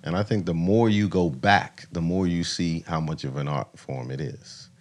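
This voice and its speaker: Very deep-voiced American male podcast host. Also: moderate pace. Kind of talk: casual interview chat. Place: small podcast studio with acoustic foam. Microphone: close studio mic on boom arm.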